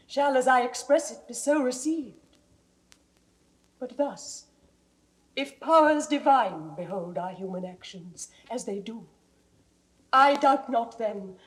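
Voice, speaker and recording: A noble queen wrongly accused, in a classical stage drama. Her voice is high, with a British accent, and her formal, measured delivery carries steadfast dignity. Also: low-quality recording. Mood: grave, restrained. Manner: formal, measured diction, sometimes dropping to hushed tones. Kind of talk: classical Shakespearean stage acting.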